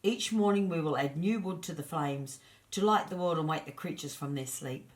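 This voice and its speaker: Storybook role: male Great Spirit, patient creator, calm and assured, reassuring delivery read by a woman. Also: low-pitched, normal speed, close to an everyday voice.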